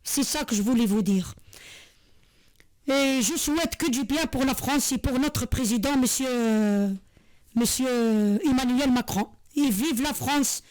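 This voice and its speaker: A woman's voice: high-pitched, measured pace, a little mellow. A woman in her forties from Algeria, talking to camera in an earnest, repetitive way with many hesitations. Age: 40s. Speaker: vlogger. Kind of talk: heartfelt monologue to camera.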